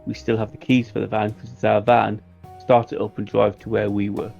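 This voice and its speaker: A man's voice: fairly low pitch, little pitch movement, fairly quick, creaky, darkish tone, highs cut off, faint music behind. A man playing a tabletop game, talking through plans casually in an assertive, wry way. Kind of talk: casual game planning chat.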